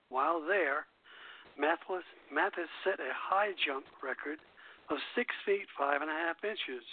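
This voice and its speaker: Tinny phone-line male radio host, higher-pitched voice. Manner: halting reading with stumbles and repeated words. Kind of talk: reading a scripted artist biography on an old-time radio show.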